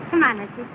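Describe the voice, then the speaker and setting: An actress playing a cheerful, gracious young woman, teasing and polite, in 1930 film acting. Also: fairly high pitch. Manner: bright, polite, lightly teasing. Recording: scratchy, tinny 1930 film soundtrack with hiss.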